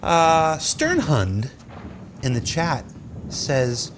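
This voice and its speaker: A man's voice: on the high side, wildly swooping pitch, drawn-out words, background noise. A man hosting a casual panel chat, reading out viewer questions and teasing his guests. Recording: loud game ambience behind the voice.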